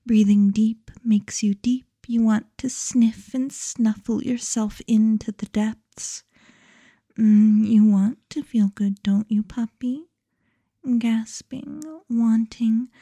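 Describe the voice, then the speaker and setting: Woman doing a slow whispery hypnosis track, dark mellow voice, flat and dry, right up on the mic. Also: medium pitch, no echo. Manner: slow, commanding, hushed; often drops to a whisper.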